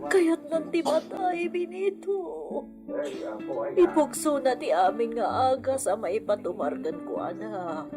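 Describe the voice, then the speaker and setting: A woman playing a gentle, sorrowful older mother, sighing and plaintive, in an audio drama. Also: high voice, slow pace. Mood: sorrowful, anxious. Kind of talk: Filipino audio drama acting. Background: music.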